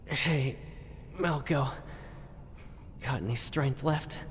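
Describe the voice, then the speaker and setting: An actor playing a rueful, dying young warrior in a video game, halting and strained, with echoing flashback reverb. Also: male voice, mid-range voice. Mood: weak banter. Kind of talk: dramatic video game acting. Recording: long reverb over faint noisy ambience.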